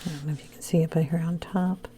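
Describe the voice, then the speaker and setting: A woman narrating a coloring tutorial, soft-spoken and unhurried, often slipping into a whisper as she loses her voice. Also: low voice, steady tone, a little hiss or hum. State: losing her voice. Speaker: coloring channel host.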